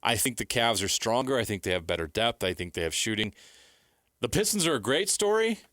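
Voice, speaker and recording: A man's voice: medium pitch, sing-song, brisk, a bit bright, dry recording, studio quality. An American man hosting sports talk, giving opinionated predictions in short, declarative sentences. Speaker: sports talk show host.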